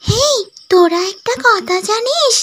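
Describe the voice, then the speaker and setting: Woman doing a very high, snide village gossip. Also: animated, a little slow, a bit bright, exaggerated voice. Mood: mocking. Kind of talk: exaggerated cartoon dialogue.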